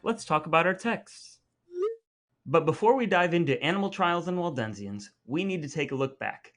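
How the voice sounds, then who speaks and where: Male podcast host, fairly high voice, playful mock-formal delivery, scripted-reading and banter style, music playing behind. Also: animated, moderate pace.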